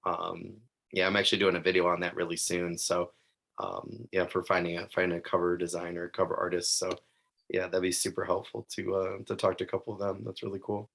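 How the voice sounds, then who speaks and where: A man's voice: low-pitched, monotone, fairly quick, vocal fry, a bit bright. A man hosting a podcast interview, enthusiastic and laughing often in casual chat. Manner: enthusiastic, laughs often, says "super cool". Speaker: podcast host.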